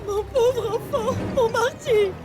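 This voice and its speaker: A woman voicing a distraught animal mother in a cartoon, calling for her lost baby in an anguished wail. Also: extremely high pitch. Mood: grieving. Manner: anguished, wailing, drawn-out calls. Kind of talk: animated series dub. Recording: music under the voice.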